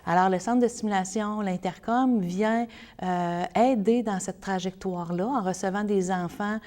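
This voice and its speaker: A woman's voice: mid-range voice, moderate pace, a little mellow. A woman with a Québécois French accent giving earnest, explanatory answers as an interview guest speaking for a service.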